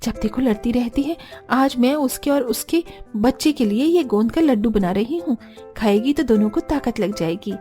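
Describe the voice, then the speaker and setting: Woman playing a bossy elder sister-in-law, brusque, high voice, faint music behind. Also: expressive, moderate pace, dark tone, lightly acted. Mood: grumbling. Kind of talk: cartoon voice acting.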